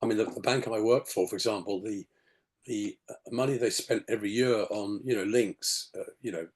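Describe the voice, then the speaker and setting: A man in his sixties with a gravelly, slightly hoarse voice, rambling through his story on a video call with many "you know"s. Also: lowish voice, brisk. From England. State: hoarse after a detox. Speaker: podcast guest. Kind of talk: casual storytelling interview.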